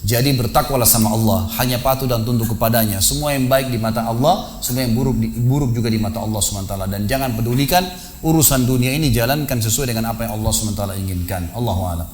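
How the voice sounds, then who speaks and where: A man preaching at a mosque gathering, telling a story with lively, acted-out dialogue. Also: fast, a little hiss or hum. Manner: animated storytelling, acts out dialogue with rising pitch.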